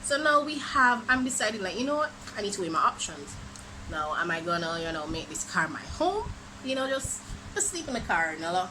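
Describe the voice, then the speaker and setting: A young woman from Jamaica with a Jamaican accent, telling a dramatic storytime for her vlog in an animated, exclamatory way. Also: on the high side. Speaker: vlogger. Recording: noisy background ambience.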